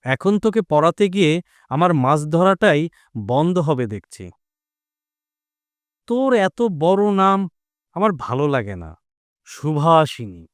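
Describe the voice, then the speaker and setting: A man's voice: on the high side, sing-song, slow pace, pauses often, no echo, clean studio sound. A man playing a carefree young village lad who fishes, teasing and familiar, in an audio drama. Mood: fond grumbling. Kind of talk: Bengali audio drama acting.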